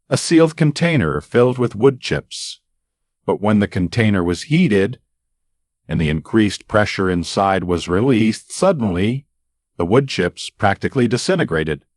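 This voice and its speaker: Male narrator, low and unhurried, dry close sound, suspenseful radio storytelling. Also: no echo. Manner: dramatic, deliberate phrasing with suspenseful pauses. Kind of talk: scripted radio-style narrated true story.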